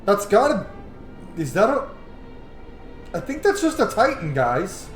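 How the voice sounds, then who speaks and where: A man's voice: high voice, huge pitch swings, unhurried. Roomy-sounding man doing casual gameplay commentary. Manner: informal, slangy, thinks aloud. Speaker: gaming channel host. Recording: roomy sound with game music behind. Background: video game music.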